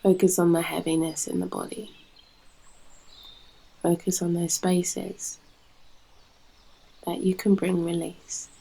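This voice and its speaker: A British woman guides a meditation in a low, dark voice with a very creaky edge. Her delivery is soft and soothing, leaving long pauses between short phrases. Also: little pitch movement. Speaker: yoga nidra guide and host.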